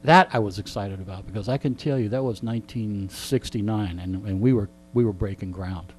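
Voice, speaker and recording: A man in his sixties in a radio interview, chatting like an animated storyteller and turning aside to address listeners. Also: on the low side, brisk, low-quality recording. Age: 60s. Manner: frequent throat clearing. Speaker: radio show host being interviewed. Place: radio studio. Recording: clear background hum and noise.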